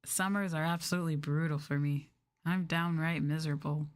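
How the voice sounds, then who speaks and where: A woman with an American accent talking softly and casually as an ASMR streamer, gently and unhurriedly replying to her chat. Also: low voice, fairly even intonation, a little fast. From United States.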